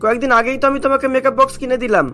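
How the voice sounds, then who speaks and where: A man's voice: very high voice, steady tone, racing, sharp tone. A man playing a mildly reproachful young husband and son in a cartoon story, questioning and a little nagging. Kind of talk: dramatised cartoon story dialogue. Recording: voice over prominent background music.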